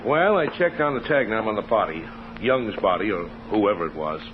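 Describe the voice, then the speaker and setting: A man's voice: fairly low pitch, lively intonation, brisk, close to an everyday voice. An actor playing a matter-of-fact police investigator in a 1950s radio drama, informal and businesslike as he reports. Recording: noisy, muffled 1950s radio recording.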